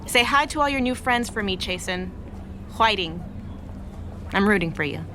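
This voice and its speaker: A woman's voice: mid-range voice, animated, normal speed, pushed and bright, a little hiss or hum. A woman playing a playful, affectionate young woman in a naturalistic, conversational audio drama. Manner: teasing, conversational.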